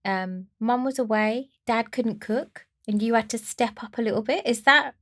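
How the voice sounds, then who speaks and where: Gravelly-voiced woman hosting. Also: on the high side, a bit bright. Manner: effusive, emphatic and slow on key words. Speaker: podcast host. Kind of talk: interview podcast with scripted intro.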